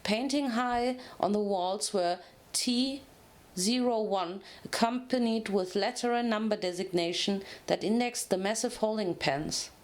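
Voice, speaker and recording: A woman's voice: mid-range voice, measured pace. A woman giving a dramatic reading of a scripted story, occasionally stumbling over a word. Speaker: fan fiction reader.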